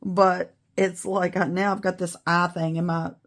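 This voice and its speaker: A middle-aged woman with a raspy voice after an illness, chatting casually and rambling a little while she shows how to make a beaded bracelet. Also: on the low side, flat delivery, a little slow. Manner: chatty, rambling, self-deprecating. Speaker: beading video host. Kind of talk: casual tutorial chat.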